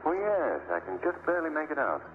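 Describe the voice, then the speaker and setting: An actor playing an assured, reassuring gentleman traveler in an old radio drama. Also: on the high side, huge pitch swings, fast talker. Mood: calm. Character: confident young gentleman traveler. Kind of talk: 1930s radio acting. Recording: tinny, hissy old radio broadcast.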